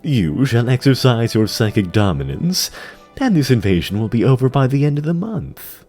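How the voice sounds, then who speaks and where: A man voicing an imperious, scheming demon dreadlord in a fantasy audio drama, speaking in a measured, mockingly deferential way. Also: mid-range voice, big pitch swings, mellow, soft background music, pitched above the performer's own voice, close to an everyday voice. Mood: scheming, smug.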